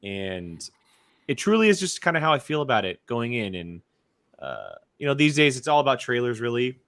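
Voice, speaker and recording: A man co-hosting a talk show, chatting casually in a halting way with repeated phrases. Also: mid-range voice, big pitch swings, a bit bright, no room sound, studio quality. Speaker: co-host of a movie talk show. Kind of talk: casual chat.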